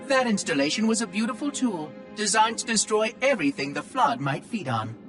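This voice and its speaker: A man voicing a prim, fretful ancient machine intelligence in a video game cutscene, over a loud score. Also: sits high, big pitch swings, a little fast, music in the background.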